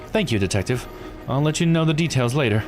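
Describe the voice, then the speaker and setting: Man putting on a polite, lilting, higher-pitched investigator. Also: lively intonation, fast, music in the background, lightly acted. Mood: composed. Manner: polite, formal, precise. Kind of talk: voicing visual novel dialogue.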